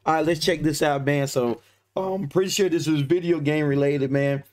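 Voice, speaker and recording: A man in his thirties hosting a reaction video from his gaming room, somewhat hoarse from losing his voice, laid-back, tagging "man" onto his lines. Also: fairly high pitch, fairly even intonation, moderate pace, a little mellow, a little hiss or hum. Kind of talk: casual reaction commentary. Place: home gaming room indoors. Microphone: webcam framing, headphones on.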